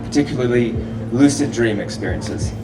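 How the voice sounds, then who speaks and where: A man giving a relaxed, chatty talk at a festival, asking the audience questions and laughing often between points. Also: medium pitch, moderate pace, music in the background. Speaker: festival speaker. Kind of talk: live public talk to an audience. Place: festival venue with a live audience. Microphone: handheld or stand microphone, often held far off. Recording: roomy echo, distant mic, audible hall noise.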